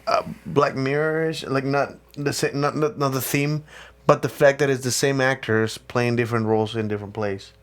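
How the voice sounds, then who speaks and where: A man's voice: medium pitch, steady tone, moderate pace. Spanish-accented man in casual podcast chat. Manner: rambling, many restarts, swears casually. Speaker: podcast co-host.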